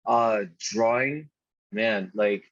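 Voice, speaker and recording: A young man from Nigeria, a podcast guest talking in a relaxed, chatty way, often saying "dude" and restarting his sentences. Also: fairly low pitch, drawn-out words, dry recording. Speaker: podcast guest, product designer. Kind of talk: casual conversational interview. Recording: dull, slightly muffled podcast audio.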